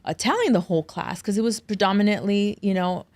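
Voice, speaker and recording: A woman's voice: medium pitch, a little fast, a little mellow. A woman hosting a health podcast, a doctor, speaking emphatically and addressing her listeners directly. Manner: emphatic, affirming, speaks to the audience. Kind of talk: conversational interview.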